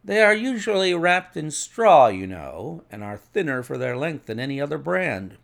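A man voicing a cool, methodical detective in an audiobook, measured, precise and authoritative. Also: fairly high pitch, big pitch swings, gravelly, a bit bright, exaggerated voice. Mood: assured, analytical. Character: cool, methodical male detective, assured and analytical.

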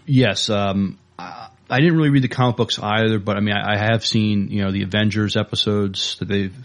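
A man co-hosting a podcast, chatting casually about movie and DVD news in a hesitant, halting way. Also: lowish voice, steady tone. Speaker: podcast co-host.

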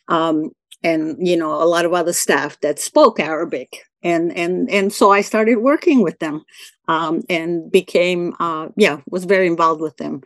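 Older woman, halting friendly talk. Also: lowish voice, dry recording. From Venezuela. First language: Spanish. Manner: hesitant, many fillers and restarts, laughs easily. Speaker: interviewee. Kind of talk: conversational oral-history interview.

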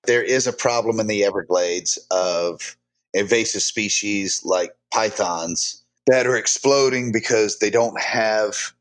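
A man's voice: on the low side, fairly bright tone, poor audio. A man hosting a podcast, chatting casually with a dry, joking tone. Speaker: podcast host.

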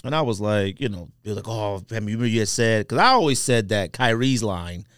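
A man's voice: lowish voice, expressive, fast, fairly bright tone, clear, resonant tone, dry recording, studio quality. An American man hosting a podcast in casual chat, with a slangy, opinionated way of talking. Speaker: sneaker podcast host.

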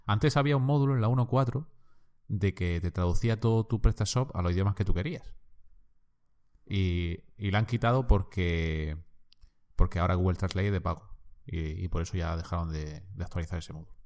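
A man's voice: deep voice, fairly quick, darkish tone. A man from co-hosting a podcast, venting his exasperation in casual chat. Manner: exasperated, ranting. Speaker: podcast co-host.

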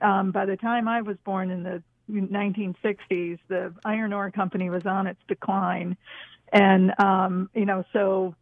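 A woman's voice: medium pitch, dry recording. A woman in her sixties from northern New York, telling personal stories casually as an interview guest, heard over a phone line. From northern New York, USA. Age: 60s. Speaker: guest, author and former park ranger. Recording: tinny, narrow phone line.

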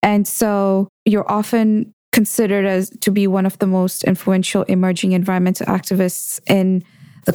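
A woman from Kenya hosting a podcast, reading a measured introduction and then asking her guest questions. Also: mid-range voice, a little mellow, clear, resonant tone, no room sound. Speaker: podcast host. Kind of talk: podcast interview.

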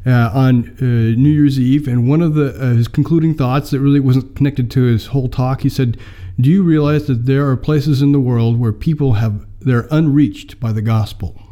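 American guy in his 50s telling his story slow with lots of uhs, really dark warm tone. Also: medium pitch, fairly even intonation. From United States. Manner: measured storytelling with many fillers. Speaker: mission mobilizer, guest. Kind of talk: personal testimony in an interview.

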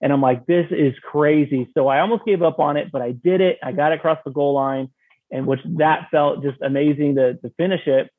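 A man's voice: on the high side, steady tone, a little fast, no echo. A man telling his story casually as an interview guest, acting out his own thoughts as he goes. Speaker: podcast guest, audio drama creator. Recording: thin, narrow phone-like sound.

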